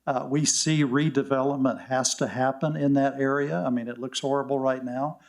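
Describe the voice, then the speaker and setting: An older man addresses a village board in public comment. His voice sits at an ordinary middle pitch, and he talks in measured phrases broken by frequent "uh" fillers. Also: fairly even intonation, gravelly, a little mellow.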